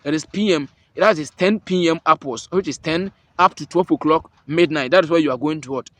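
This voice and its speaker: A Ghanaian man with a fairly high voice talks through a remedy one careful step at a time, going back over each point to drive it home. The sound is close and dry, with no room around it. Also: fairly quick, a bit bright, no echo. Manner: repetitive, emphatic, restates each step. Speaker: herbal remedy channel host. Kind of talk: step-by-step spoken instructions.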